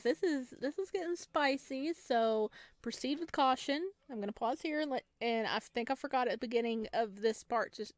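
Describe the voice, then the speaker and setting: A woman's voice: a little high, dry recording. A woman reading a visual novel aloud and chatting to viewers, giggling often. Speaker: game streamer.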